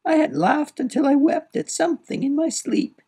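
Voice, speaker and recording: A man voicing a weary, stony, witchlike woman in a storybook, creaky and high, speaking in solemn incantatory phrases. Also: moderate pace, warm tone. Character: stony, weary, dry-eyed witchlike woman, coldly sorrowless.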